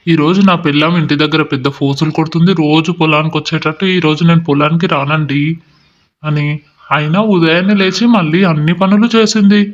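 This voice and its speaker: Man acting a fed-up young farmer husband, bit high voice, grumbly, muffled story audio. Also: fairly bright tone, lightly acted. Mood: musing, worried. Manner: complaining, long-suffering. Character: exasperated young village farmer husband of a lazy wife. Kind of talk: acted Telugu moral story dialogue.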